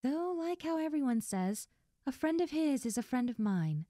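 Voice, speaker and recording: A woman playing a best friend's friendly, nosy older sister in an intimate roleplay audio drama, talking softly and coyly straight to the listener. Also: high-pitched, sing-song, moderate pace, dry recording, clean studio sound. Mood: friendly, grateful. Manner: soft, gentle, coy, addressing the listener directly.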